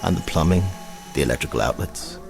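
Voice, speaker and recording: An actor playing a haughty, wealthy colonel in an audio drama, speaking in a measured, formal, pompous way. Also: male voice, rumbling low, vocal fry, over music. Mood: brisk, businesslike. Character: haughty, wealthy club colonel, stern and exacting.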